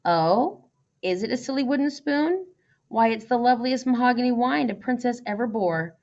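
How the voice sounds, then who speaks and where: A woman's voice: on the high side, lively intonation, moderate pace, darkish tone, voice pushed up. A bold, teasing young princess from a storybook , read by a woman. She speaks in emphatic, challenging questions and knows her own mind. The recording is slightly dull and lo-fi. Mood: teasing challenge. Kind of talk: storybook character voice.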